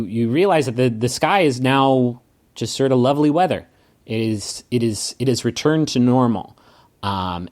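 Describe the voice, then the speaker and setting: A man narrating a tabletop game as game master, halting and dramatic, improvising as he goes with pauses. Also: medium pitch, a little mellow. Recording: music under the voice. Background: background music.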